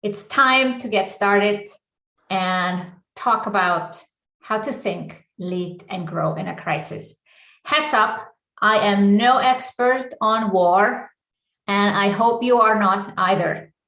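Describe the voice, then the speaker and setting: Icelandic lady doing an online business coaching talk, deliberate short punchy phrases, roomy echo on it. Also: medium pitch, fairly bright tone. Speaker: business coach and session host. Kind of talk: live online coaching presentation. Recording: echoey room.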